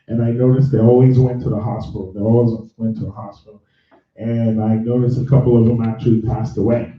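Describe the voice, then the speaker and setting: A man from Nigeria talks a live audience through a career workshop, restarting his sentences and laughing along with the room. He sounds distant from the microphone in a very echoey room. The recording is dull and muffled. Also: mid-range voice. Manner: chatty, self-correcting, laughs with the room. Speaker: career bootcamp host and mentor.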